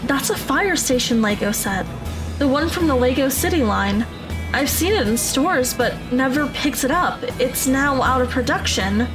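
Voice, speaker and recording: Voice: sits high, animated, moderate pace, music in the background, poor audio. A voice acting a precise, knowledgeable teenage girl with a matter-of-fact manner, in an audio drama.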